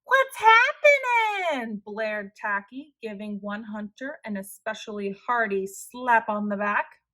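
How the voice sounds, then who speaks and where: Bright, unhurried woman storyteller. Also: medium pitch, steady tone. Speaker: children's story reader. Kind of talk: scripted read-aloud narration for children.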